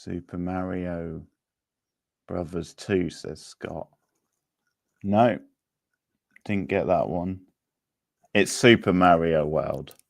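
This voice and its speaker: A man's voice: low voice, slow, vocal fry, long pauses, no room sound, studio quality. A man hosting a casual quiz, chatting and answering participants as he reads out questions. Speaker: quiz host.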